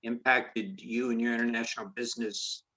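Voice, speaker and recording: A man hosting a faculty interview, friendly and a bit halting with restarts. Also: medium pitch, little pitch movement, bright, forceful tone, poor audio. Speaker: interview host, business law lecturer.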